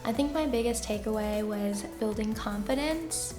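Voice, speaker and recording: A young woman with a soft voice, a teacher chatting casually through viewer questions with frequent laughs and fillers. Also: fairly high pitch, normal speed, reverberant, soft background music. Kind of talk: casual Q&A chat.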